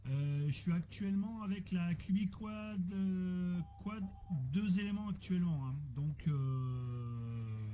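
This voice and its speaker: A man's voice: high voice, lively intonation, slow pace, few pauses, warm tone, soft background music. A man chatting casually as an amateur radio operator, hesitant and often repeating himself. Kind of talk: casual radio chat, technical troubleshooting. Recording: noisy amateur radio audio with static.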